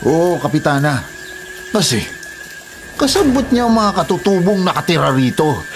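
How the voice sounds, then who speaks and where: A man's voice: fairly high pitch, sing-song, normal speed, noisy background. An actor playing a ruthless, gloating Filipino gunman and illegal logger in a radio drama, with a menacing, taunting delivery. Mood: taunting, cruel. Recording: loud dramatic music under voice.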